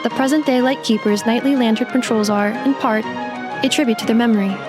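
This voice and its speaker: A woman's voice: on the high side, fairly even intonation, a little fast, natural voice. A woman voicing a polite female robot guide assistant who speaks in a precise, formal, factual way, in video game dialogue with music behind. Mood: calm.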